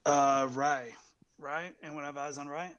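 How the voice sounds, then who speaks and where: A man's voice: on the high side, fairly even intonation, unhurried, a bit bright, lo-fi sound. A man improvising as a ship's captain in a tabletop roleplay, giving businesslike orders with some hesitation. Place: indoors, group video call.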